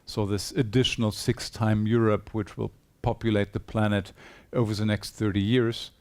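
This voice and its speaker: A man's voice: lowish voice, level tone, moderate pace. A man giving a conference presentation in a hall, earnest and persuasive, putting rhetorical questions to his audience. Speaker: conference speaker.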